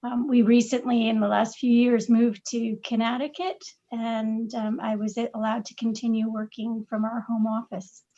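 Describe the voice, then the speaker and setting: Middle-aged woman guest, halting casual answers, dull recording. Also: fairly high pitch, little pitch movement, dark tone. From Massachusetts. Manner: hesitant, many fillers and restarts. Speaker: podcast guest, parent.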